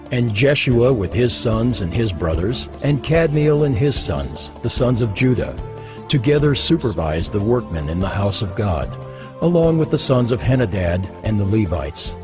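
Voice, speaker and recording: A man narrating scripture as a scripted dramatized reading, solemn and expressive in his storytelling. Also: fairly low pitch. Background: music.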